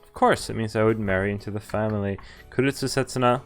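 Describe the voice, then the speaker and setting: Clear-voiced man reading a game aloud. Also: lowish voice, quick pace, faint music behind. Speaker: game streamer. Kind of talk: reading a visual novel aloud with casual commentary.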